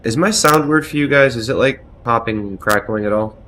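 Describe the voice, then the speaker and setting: A man in his thirties chatting casually on a live stream from a small room at home, loud-voiced, loose and self-deprecating, with frequent swearing, on a headset mic. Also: mid-range voice, fairly quick, a little hiss or hum. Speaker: game streamer.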